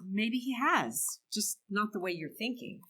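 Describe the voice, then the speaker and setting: A woman playing a hopeful, faithful townswoman who answers gently and reassuringly in an amateur church dramatic reading. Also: medium pitch, big pitch swings, measured pace, full character voice.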